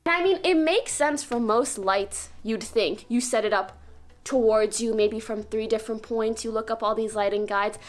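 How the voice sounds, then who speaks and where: A woman's voice: fairly high pitch, normal speed. A woman streamer giving a casual, joking tour of her desk setup, speaking into a studio mic. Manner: bubbly and joking, drops into whispers. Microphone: studio mic on a desk stand.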